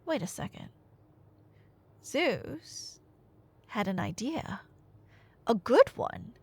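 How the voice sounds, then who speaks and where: A young woman retelling a tale as a chatty, theatrical storyteller, with emphatic delivery, dry humor and dramatic pauses. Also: on the high side, sing-song, breathy edge. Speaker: audio storyteller playing a nerdy friend. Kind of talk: casual dramatic retelling of myth, as ASMR roleplay.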